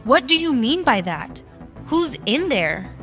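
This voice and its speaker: A woman's voice: high-pitched, big pitch swings, slow pace, fairly bright tone, exaggerated voice. Woman acting a curt, distrustful android woman. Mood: alarmed. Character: curt, distrustful synthetic woman seeking freedom. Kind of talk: radio drama acting. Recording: faint music under the voice.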